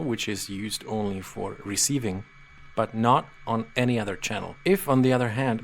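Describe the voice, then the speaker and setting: Patient man explaining software. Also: mid-range voice, a little hiss or hum. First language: Czech. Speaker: software tutorial presenter. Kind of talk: screen-reader software walkthrough.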